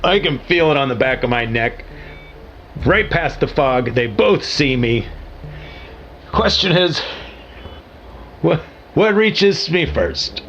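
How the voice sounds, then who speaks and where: A male actor playing a weary, resigned, bitter man giving his last transmission in a found-footage audio drama, speaking haltingly. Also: fairly high pitch, lively intonation, fairly bright tone, heavily acted. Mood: fearful, guilty. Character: doomed, resigned man sending a final radio transmission. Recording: muffled lo-fi transmission, faint music.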